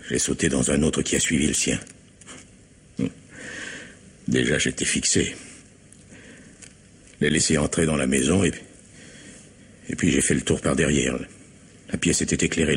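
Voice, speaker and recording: An actor in a French radio mystery play voicing a shaken, defeated husband who confesses haltingly to a crime of passion. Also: male voice, extremely low pitch, a little hiss or hum.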